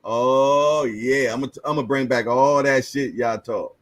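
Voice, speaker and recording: A man in his fifties hosts a boxing livestream in a slow, unhurried drawl. He chuckles often, repeats himself and trades slangy banter with his viewers. His voice sounds close and dry, with no room echo. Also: medium pitch, fairly even intonation. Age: 50s. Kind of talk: casual livestream chat reading viewer comments.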